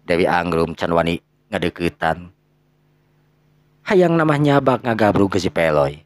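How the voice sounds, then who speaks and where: A man's voice: deep voice, sing-song, unhurried, no room sound. A man with a Sundanese accent narrating a folktale dramatically, breaking phrases up for suspense. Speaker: storyteller.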